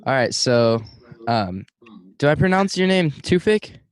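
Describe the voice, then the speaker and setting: A man hosting a podcast in a casual conversation, talking in a relaxed way with many filler words. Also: fairly high pitch, a little slow, clear, resonant tone. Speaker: podcast host.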